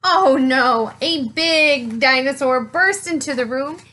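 A woman in her thirties reading a picture book aloud to children, with expressive, deliberate, emphatic phrasing. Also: high-pitched. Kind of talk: children's read-aloud storytelling.

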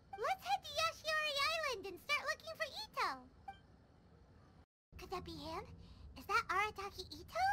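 Video game fairy sidekick: childlike, squeaky, extremely high, bold and excitable. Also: female voice, heavy background noise, full character voice. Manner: excitable, refers to self in third person. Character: tiny floating fairy companion girl, chirpy, bold, childish. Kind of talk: video game character dialogue.

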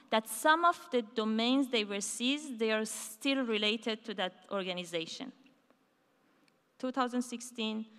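A woman giving a conference keynote on a large dark stage, talking in a loose conversational way with fillers and restarts. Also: on the high side, clear, resonant tone. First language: not Hebrew. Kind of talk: conference talk. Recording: hall reverb from a large stage.

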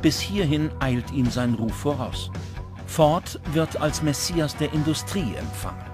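A man's voice: a little high, animated, a little slow. A man reading scripted documentary narration as a narrator. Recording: music under the voice. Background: background music.

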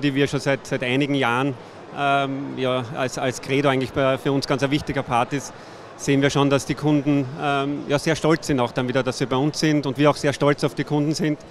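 A man's voice: mid-range voice, level tone, fairly quick, a little hiss or hum. A man speaking hesitantly into a handheld mic, searching for words with many fillers. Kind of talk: event interview.

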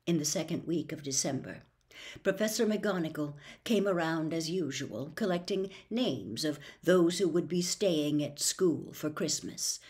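A woman in her sixties reading a children's novel aloud with theatrical, expressive, deliberate phrasing, recorded at home. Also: deep voice. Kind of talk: dramatic audiobook-style narration of a children's novel. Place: small bedroom at home.

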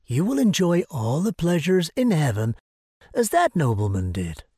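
A man voicing a gentle middle-aged saint in a cartoon, who explains things patiently. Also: fairly high pitch, huge pitch swings, normal speed, slightly rough, dry recording, heavily acted. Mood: reassuring. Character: calm, gentle middle-aged male saint at heaven's gate.

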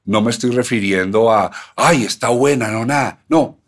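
A man's voice: medium pitch, normal speed. An older man hosting a podcast, talking with enthusiasm and stammering restarts in a small studio. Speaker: podcast host and writer. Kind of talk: casual podcast conversation. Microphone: studio mic on stand.

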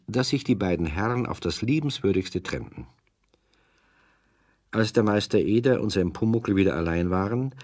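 A man's voice: deep voice, a little mellow, no room sound. A man narrating a children's radio play in storytelling style.

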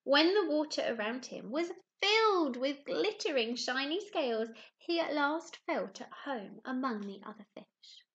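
A woman's voice: high voice, a little slow, few pauses, highs cut off. A woman, a primary teacher reading and chatting expressively to young children. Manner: expressive, addressing children with questions.

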